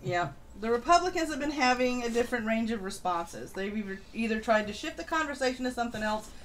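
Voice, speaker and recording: A woman's voice: a little high, lively intonation, fast, low-quality recording. A woman hosting a political livestream, reading through news with casual, opinionated commentary. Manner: informal, opinionated, swears casually. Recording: noticeable background noise.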